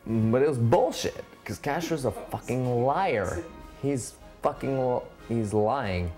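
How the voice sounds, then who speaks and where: A man's voice: mid-range voice, animated, takes it slowly, dark tone, soft background music. A drunk man retelling history in a rambling, profane way, trailing off and restarting. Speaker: storyteller.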